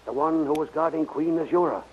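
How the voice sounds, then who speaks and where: An actor playing a dutiful, subservient palace soldier in a 1930s radio serial, answering in a clipped manner. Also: male voice, fairly high pitch, brisk, close to an everyday voice. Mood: deferential. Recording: dull, hissy old radio recording.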